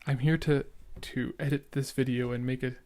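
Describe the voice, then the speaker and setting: A young adult man with a mid-pitched voice speaks casually and candidly, like a podcast host reacting to old footage. He is earnest and a little halting, with occasional stutters and repeated words. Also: little pitch movement, darkish tone.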